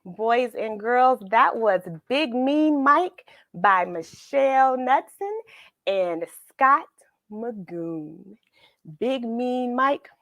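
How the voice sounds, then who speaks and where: Higher-voiced woman hosting a children's read-along. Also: expressive, very slow, fairly bright tone, no echo. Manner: playful, affectionate and encouraging, repeats phrases for emphasis. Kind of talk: informal, chatty address to children.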